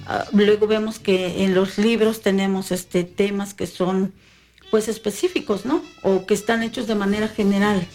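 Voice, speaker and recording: A woman's voice: mid-range voice, fairly even intonation, moderate pace, a little mellow. Hesitant woman, music behind. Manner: hesitant, many fillers and restarts. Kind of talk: casual conversation.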